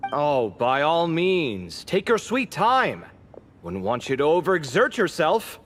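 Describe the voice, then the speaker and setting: A man voicing a sarcastic, well-read young male scholar in a game, expressive in his delivery. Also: medium pitch, slight background noise, heavily acted.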